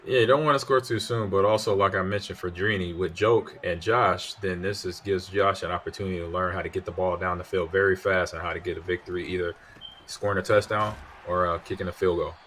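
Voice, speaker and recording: A middle-aged man giving steady, somewhat rambling analysis as color commentator on a football video game tournament. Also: deep voice, a little fast. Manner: steady, explanatory, a bit rambling. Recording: faint background noise.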